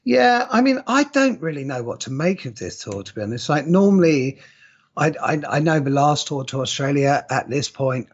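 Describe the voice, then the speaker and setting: A man with an English accent and a cold sounds husky and croaky. He chats in a wry, self-mocking way, stumbling over his starts, and the recording comes across muffled and lo-fi. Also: on the high side, very animated, normal speed. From England. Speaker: podcast co-host. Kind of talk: casual chat. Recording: dull lo-fi sound.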